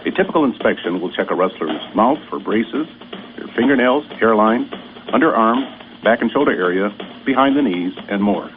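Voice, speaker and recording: A man reading a scripted explainer about officiating rules in a brisk, matter-of-fact instructional tone. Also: fairly low pitch, expressive, faint music behind. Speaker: officiating segment guest. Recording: tinny radio broadcast with clear hiss.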